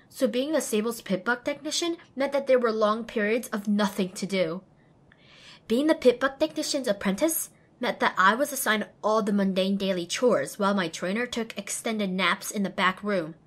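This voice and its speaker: A woman's voice: medium pitch, natural voice. A young woman voicing an earnest, self-deprecating young female pony apprentice, narrating an audio drama expressively and wryly. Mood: glum, bored. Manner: expressive, wry. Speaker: lead and first-person narrator.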